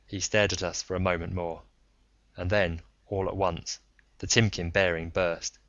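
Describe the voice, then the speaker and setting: Low-voiced man narrating, close and dry. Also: little pitch movement, moderate pace, a bit bright, no echo. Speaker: audiobook narrator. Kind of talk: scripted narration of science fiction story. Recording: slightly dull close dry recording.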